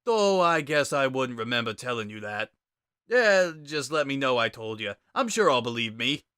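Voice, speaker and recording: Man acting out a laid-back joker, sardonic, casual drawl, bright tone, close dry sound, storybook reading. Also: mid-range voice, sing-song, moderate pace, clear, resonant tone, no echo. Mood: wry, sardonic. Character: laid-back, wry male joker with a weary edge. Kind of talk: dramatic reading of fan fiction.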